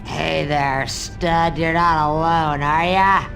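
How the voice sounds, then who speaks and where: An actress playing a bold, seductive flirt in a video game, teasing and slangy. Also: female voice, sits low, steady tone, takes it slowly, gravelly, over music, exaggerated voice. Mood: flirtatious. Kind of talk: video game cutscene acting.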